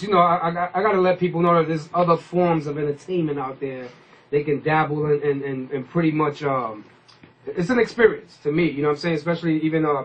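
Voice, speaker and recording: Higher-voiced man hosting informal radio. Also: husky, dull audio. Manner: informal, slangy, rambling. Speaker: radio host. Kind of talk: casual hip-hop radio interview.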